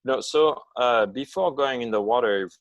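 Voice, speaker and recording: A man in his fifties with a foreign accent, answering interview questions over a video call in halting English with many pauses. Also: medium pitch, dry recording, poor audio. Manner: halting, non-native phrasing with many uh pauses. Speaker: guest, long-distance ocean swimmer.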